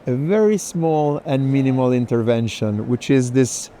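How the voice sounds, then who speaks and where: A man leading a walking conversation through an art fair, speaking haltingly with many restarts. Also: mid-range voice, dark tone, a little hiss or hum. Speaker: tour moderator.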